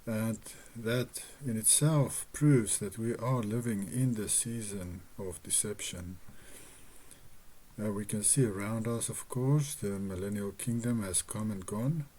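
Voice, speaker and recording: Afrikaans-speaking guy, slow and earnest, kinda mellow voice, lots of restarts. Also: fairly low pitch. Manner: earnest, measured, with hesitations and restarts. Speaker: religious video host. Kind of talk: slow personal teaching monologue.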